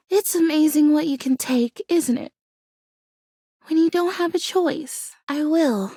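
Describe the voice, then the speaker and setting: A woman's voice: high-pitched, dry recording, studio quality. A woman voicing an earnest, hopeful plastic plant girl who longs to be real, a video game character with a dramatic, childlike way of talking. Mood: weary, determined. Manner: earnest, dramatic, childlike phrasing and mild insults.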